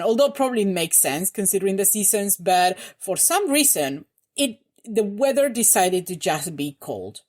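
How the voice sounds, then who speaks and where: A young woman from Argentina, a congested voice, chatting and rambling to her channel from home. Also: mid-range voice. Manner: rambling, self-correcting, chatty. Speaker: reaction channel host. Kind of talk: casual chat to camera.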